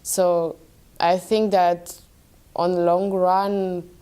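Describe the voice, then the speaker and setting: A woman giving measured interview answers. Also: lowish voice, little pitch movement, warm tone, clean tone.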